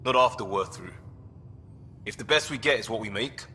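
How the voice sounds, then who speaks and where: A man's voice: lowish voice, moderate pace, bright, forceful tone, in a large hall, a little hiss or hum. An actor playing a keen, upbeat young male crewman who loves gadgets, in a video game. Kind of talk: video game cutscene acting.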